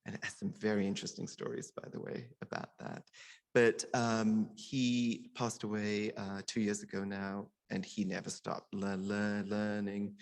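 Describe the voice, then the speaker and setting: Deep, creaky-voiced man speaking earnestly. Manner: earnest, repeats and stammers on words. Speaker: surgeon giving a conference talk. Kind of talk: personal, informal conference speech.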